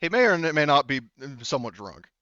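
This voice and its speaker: A man narrating a tabletop game as game master in a casual, halting, profanity-sprinkled way, on a dull recording. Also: a little high, sing-song, bright, forceful tone, clean tone, no room sound. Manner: informal, profane, halting delivery.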